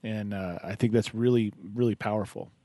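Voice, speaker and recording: A man's voice: fairly low pitch, fairly even intonation, moderate pace, dark tone, no room sound. A man hosting a radio talk show, chatting casually and encouragingly with a caller, rambling a little as he gives advice. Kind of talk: casual on-air chat with a caller.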